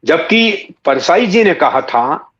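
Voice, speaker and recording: A man in his sixties answering in a reflective interview, explaining in literary words and pausing mid-sentence to find the next one. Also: a little high, expressive, a bit bright, dull audio. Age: 60s. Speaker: interview guest, satirist and writer.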